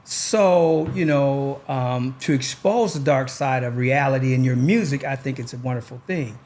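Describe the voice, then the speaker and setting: A man hosting a podcast, talking in a relaxed, rambling way with lots of pauses and fillers. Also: medium pitch, a little hiss or hum. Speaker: podcast host. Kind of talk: casual chat.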